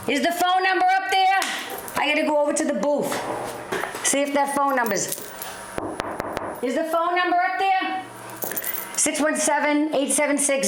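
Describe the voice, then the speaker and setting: A hoarse woman hosting a call-in talk show, brash and teasing, pushing her caller for answers. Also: high-pitched, roomy sound, noisy background. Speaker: host.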